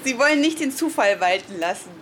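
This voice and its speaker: A woman's voice: a little high, animated, a little fast, very noisy. A woman in casual conversation on location, asking questions and responding informally. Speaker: reporter following a banknote.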